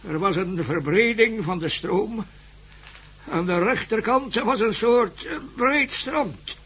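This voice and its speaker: An actor playing a wary old native villager in a radio play, with a husky voice and a halting, hesitant way of talking. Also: male voice, high voice, lively intonation, sharp tone, exaggerated voice. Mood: halting, remembering. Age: older. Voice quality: raspy, husky.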